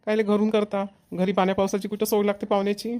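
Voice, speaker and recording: A woman's voice: mid-range voice, flat delivery, quick pace, darkish tone, lightly acted. A woman voicing an easygoing, helpful young village son in a cartoon comedy, plain and matter-of-fact, with a Varhadi Marathi accent. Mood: explaining.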